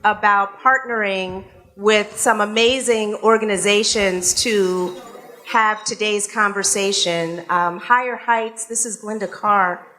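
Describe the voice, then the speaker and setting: A woman's voice: mid-range voice, steady tone, takes it slowly, bright, forceful tone. A woman opening a live panel event as host, speaking casually to an audience in a room, with frequent fillers and restarts. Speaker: radio station executive and event host.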